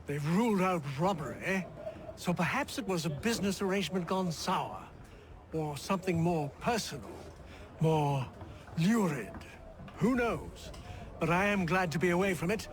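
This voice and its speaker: A man's voice: high-pitched, animated, normal speed, a crowd in the background. A man playing a chatty, affable, well-to-do London traveller with a London English accent in a video game. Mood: affable gossip. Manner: affable, gossipy. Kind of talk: video game cutscene acting.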